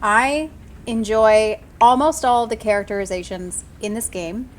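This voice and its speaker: A woman's voice: fairly high pitch, normal speed. A woman streaming a video game, giving casual, snarky and opinionated commentary. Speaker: let's play streamer.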